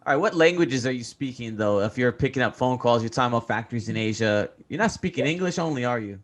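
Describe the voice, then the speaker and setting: A man hosting a podcast, putting a question to his guest in a relaxed way between short encouraging replies. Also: medium pitch, quick pace. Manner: brief encouraging backchannels. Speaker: podcast host. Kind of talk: interviewer asking questions.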